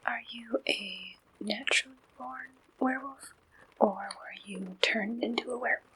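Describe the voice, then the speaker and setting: A woman softly performing an ASMR roleplay as a receptionist, gentle, reassuring and unhurried, in a breathy whisper close to the microphone. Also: fairly high pitch, poor audio.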